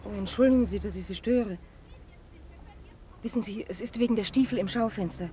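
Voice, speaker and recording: A woman's voice: medium pitch, brisk, dark tone, slight background noise, lightly acted. A woman playing a polite, hesitant mother in a radio play, timid and courteous. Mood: apologetic, pleading. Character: polite, hesitant mother shopping for her boy. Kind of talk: German radio play acting.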